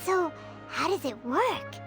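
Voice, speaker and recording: A small, childlike fairy companion from an anime-style game. The voice is squeaky and extremely high, swinging widely in pitch. The delivery is bubbly, eager and blunt, over clear background music. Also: female voice, expressive, takes it slowly, full character voice. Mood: skeptical. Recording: music behind the voice.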